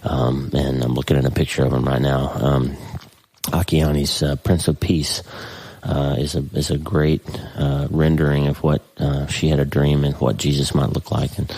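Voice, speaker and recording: A man's voice: a bass voice, warm tone, husky, dry recording, low-quality recording. A middle-aged man with a stuffy, congested voice hosting an earnest, folksy faith podcast from his home office desk. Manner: earnest and folksy, with many restarts. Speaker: podcast host. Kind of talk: casual faith-based podcast monologue.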